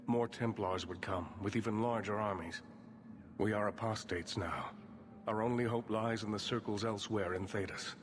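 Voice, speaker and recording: An actor playing a formal, grave male mage leader in a video game, speaking in a measured way. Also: on the low side, long reverb tail, a little hiss or hum, close to an everyday voice. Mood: grave, resolute. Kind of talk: video game cutscene acting.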